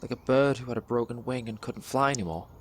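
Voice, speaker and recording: A young man performs a dramatic spoken word monologue in a measured, deliberate storytelling way, pausing between phrases. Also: mid-range voice, a little hiss or hum. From England. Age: young adult.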